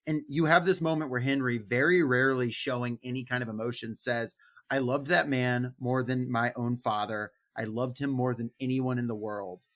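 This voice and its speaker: A man with a medium-pitched, bright and forceful voice speaks as a podcast co-host. He is casual and emphatic, working through his points and dropping in quoted lines. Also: fairly even intonation, moderate pace. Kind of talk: casual book discussion.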